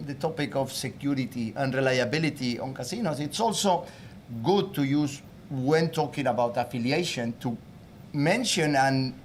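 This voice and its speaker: A man with a Spanish accent talks on a panel, lively and expressive, his voice sitting a little high. He often acts out the voices of others. Faint room noise hums behind him. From Spain. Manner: animated, acts out quoted voices. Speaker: panel speaker. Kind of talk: casual panel discussion.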